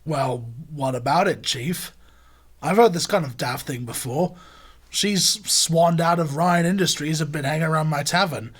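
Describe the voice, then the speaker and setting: Radio drama role: down-to-earth British barkeep, husky, higher-pitched, unimpressed and plain-spoken. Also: male voice, normal speed. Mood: sceptical, calm. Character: down-to-earth, unimpressed male tavern keeper and sidekick.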